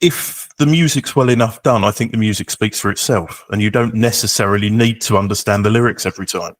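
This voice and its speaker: A man in his forties from England, hosting an interview, asking relaxed, gently teasing questions. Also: lowish voice, brisk, no echo. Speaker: radio host interviewer.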